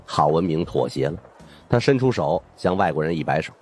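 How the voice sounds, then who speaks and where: A man's voice: a bass voice, expressive, a little fast, a little mellow, faint music behind. A man narrates suspense fiction as a dramatized first-person audiobook storyteller.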